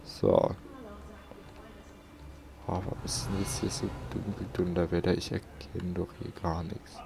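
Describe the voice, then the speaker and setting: A man's voice: deep voice, little pitch movement, unhurried, creaky, background noise. A man doing casual let's play commentary and reading in-game notes aloud, close to the microphone.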